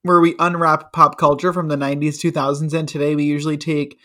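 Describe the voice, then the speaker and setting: A man's voice: a little high, little pitch movement, fast talker, bright, forceful tone. A young man guest co-host on a podcast call, laid-back and teasing, laughing often. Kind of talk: casual chat. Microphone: video call.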